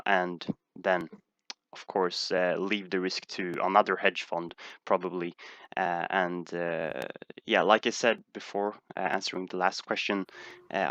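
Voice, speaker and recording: A man's voice: deep voice, fairly bright tone, dry recording. A man from Sweden with a Swedish accent, answering viewer questions in a casual livestream and hesitating often with fillers and restarts. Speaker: stock market streamer.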